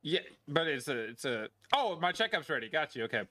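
A man's voice: a little high, sing-song, brisk, pushed and bright, dry recording. A man improvising casually as a police officer in an online game, swearing freely and given to laughing. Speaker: role-player playing a police officer.

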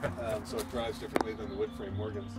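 A middle-aged man with an American accent, chatting casually and haltingly outdoors as he reminisces. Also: on the low side, little pitch movement, over loud music. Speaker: relative of the car's longtime owner. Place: outdoors in a yard beside parked vehicles.

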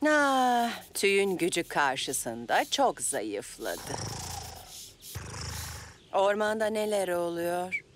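Cartoon cat martial master: slow, solemn, pompous, with lively swoops in pitch. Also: a little hiss or hum, exaggerated voice. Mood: grave. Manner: deliberate, strict, pompous. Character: stern, solemn ninja cat trainer. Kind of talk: animated children's cartoon dub.

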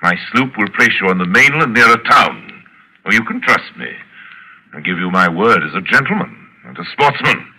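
Radio drama villain: cultured general, theatrical, mock-courteous, very animated, penetrating tone. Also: male voice, lowish voice, moderate pace, heavily acted, poor audio. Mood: relishing, taunting. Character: cultured, sinister aristocratic general who hunts men. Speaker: radio actor. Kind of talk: 1940s radio acting. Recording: old radio drama recording.

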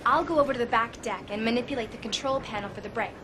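An actress playing an earnest, plucky young rookie rescue officer in a video game. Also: female voice, a little high, rapid-fire, noisy background, lightly acted. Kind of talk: video game cutscene acting.

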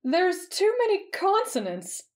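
Woman acting a lively, higher-pitched playful young woman. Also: brisk. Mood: teasing, playful. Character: playful teasing young woman infiltrator, excitable.

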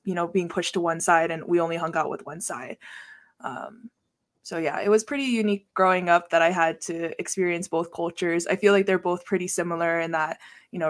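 Guest, 30s woman, easygoing chat with fillers, home podcast mic on a video call. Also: fairly low pitch. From Hawaii. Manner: chatty, laughs often, many fillers. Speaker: guest, educator and podcast host. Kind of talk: casual video-call interview. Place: small room at home, indoors. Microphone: podcast mic with headset on video call.

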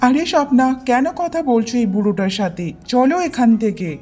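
A woman's voice: on the high side, lively intonation, a little fast, a little mellow. A woman voicing a suspicious, grumbling village husband in a fairy-tale cartoon. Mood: impatient.

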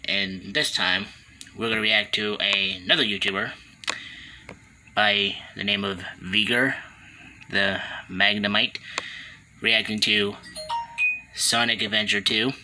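Low-voiced young man over noisy background. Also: fairly even intonation, slow pace, pauses often, pushed and bright. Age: young adult. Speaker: reaction video host. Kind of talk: casual reaction video intro and commentary. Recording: noisy room recording with clicks.

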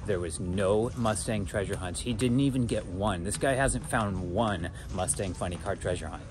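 A man's voice: fairly low pitch, moderate pace. An American man chatting casually to his viewers as a collecting vlogger, in a laid-back, slangy, rambling way. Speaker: toy car collecting vlogger.

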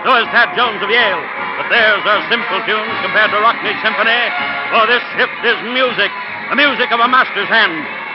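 An actor playing a grandiose sports commentator, declaiming urgently in a 1940s radio drama style. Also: male voice, high voice, normal speed, a loud crowd, natural voice. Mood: exultant, urgent. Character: grandiose, breathless male sports commentator narrating. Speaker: narrator. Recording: muffled 1940 radio, loud music behind.

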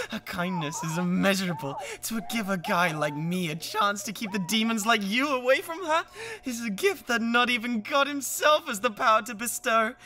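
A man's voice: extremely high pitch, vocal fry, exaggerated voice. A man as a sneering jealous young villain. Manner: theatrical, scornful, swings into shouting. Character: obsessive young man, jealous, cruel and threatening. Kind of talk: dramatic villain monologue.

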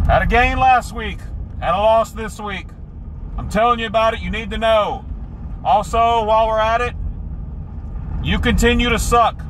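A man playing a mock-bossy neighborhood announcer, loud and taunting through a bullhorn in a car. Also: sits high, pitched above the performer's own voice, heavily acted. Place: inside a car, window down. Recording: megaphone in noisy car.

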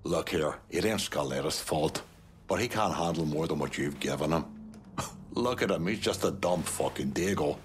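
An actor playing an aggrieved, bitter Irish mobster in a game, blunt and foul-mouthed. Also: male voice, very deep voice, moderate pace, background noise. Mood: scornful, coaxing.

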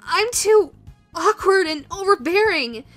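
A high-pitched, nervous young woman, played by a voice actress, awkward and down on herself in a romantic comedy audio roleplay. She speaks slowly and haltingly, stammering as she gets flustered. Faint music plays behind her. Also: lively intonation, a bit bright, full character voice. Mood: agitated, despairing. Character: awkward, self-deprecating young meme-obsessed woman flustered by love.

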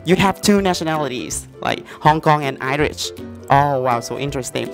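A man's voice: on the high side, moderate pace, faint music behind. A young man with a Vietnamese accent, a native Vietnamese speaker, hosting a casual interview. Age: young adult. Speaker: host, English learning presenter. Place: indoor café-like open room. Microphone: handheld lavalier mic.

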